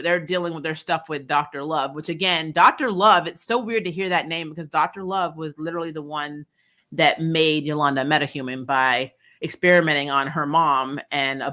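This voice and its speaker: A woman hosting a casual recap show, blunt and opinionated, retelling scenes in an animated, chatty way with some mild swearing. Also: sits low. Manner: animated retelling, acting out dialogue, prone to chuckling; blunt, opinionated, mildly profane. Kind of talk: casual episode recap and commentary.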